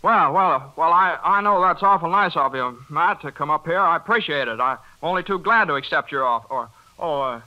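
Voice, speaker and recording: An actor playing a hot-headed but good-hearted young working man in a 1940s radio drama, halting and stammering as he talks. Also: fairly high pitch, expressive, muffled recording, lightly acted. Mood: nervous bluffing. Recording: 1940s radio broadcast with loud music.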